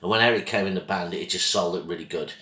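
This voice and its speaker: A man hosting his own channel with a casual, rambling album review, his voice croaky from a throat infection, coughing now and then. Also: deep voice, quick pace, sharp tone, roomy sound. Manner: rambling, loses track of names, apologetic.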